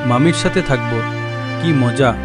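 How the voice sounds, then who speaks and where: A young ghost boy from a horror cartoon, acted by a man, pleading and childlike. He speaks slowly, with music clearly playing behind him. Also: medium pitch, lightly acted. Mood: eager, innocent. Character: dead nephew's ghost, a pleading eager young village boy. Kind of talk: animated horror story acting.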